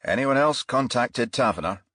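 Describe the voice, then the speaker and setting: Man playing a calm, affable detective inspector. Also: mid-range voice, normal speed, no room sound, clean studio sound, voice pushed up. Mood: attentive. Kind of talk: audiobook character voice.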